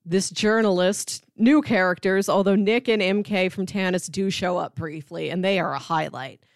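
A woman's voice: fairly low pitch, moderate pace, no echo, clean studio sound. A woman co-hosting a podcast, chatting casually and playfully about media. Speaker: podcast co-host.

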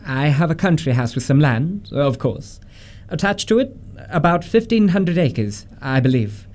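A man playing an earnest, polite Victorian gentleman in his late twenties in a radio comedy, formal and a little flustered. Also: on the high side, sing-song, unhurried. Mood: polite, nervous. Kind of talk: stage-comedy radio acting.

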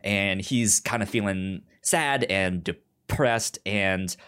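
Halting, stammering man chatting casually. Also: low voice, sharp tone, smooth. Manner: halting, stammering, repeats first sounds of words. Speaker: podcast co-host. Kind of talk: casual TV recap discussion.

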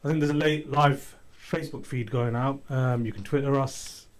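A man's voice: medium pitch, fairly even intonation, normal speed. A man hosting a community radio phone-in show, talking in a loose, chatty way with frequent ums and restarts. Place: radio studio.

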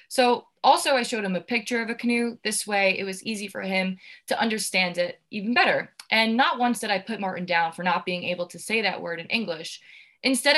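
A woman's voice: mid-range voice, bright, forceful tone. A young woman, an education student, sharing classroom experiences in an earnest, partly scripted podcast discussion. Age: young adult.

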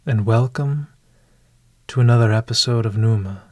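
A man narrating a scripted bedtime meditation as a podcast host, in a hushed, deliberate manner with long pauses. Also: medium pitch, no echo.

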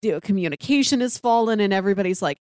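A woman's voice: medium pitch, animated, racing, darkish tone, clean tone, dry recording, studio quality. A woman co-hosting a podcast, discussing stories in a casual, conversational way. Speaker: podcast co-host.

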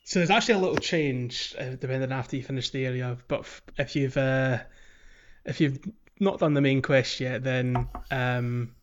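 A man's voice: medium pitch, normal speed, highs cut off. A man co-hosting a casual video game podcast, chatting and explaining in a hesitant, circling way. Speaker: podcast host.

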